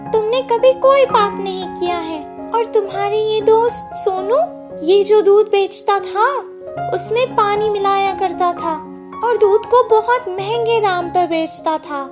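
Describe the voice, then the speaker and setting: A woman's voice: extremely high pitch, pitched above the performer's own voice. A woman voicing a benevolent, stern yet kind goddess in a children's cartoon story, speaking in a solemn, admonishing manner. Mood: solemn praise. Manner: slow, solemn, admonishing and praising.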